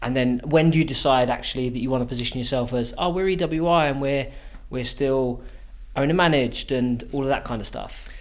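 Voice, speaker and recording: A man's voice: mid-range voice, fairly quick. A man hosting a podcast and asking questions in a casual, conversational way. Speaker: podcast host, interviewer.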